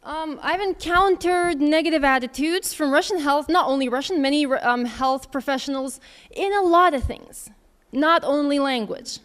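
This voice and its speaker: A woman's voice: high voice, moderate pace, hardly any pauses, a bit bright. A woman in her thirties answering audience questions in a candid, conversational way, thinking aloud as she goes. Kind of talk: casual Q&A talk.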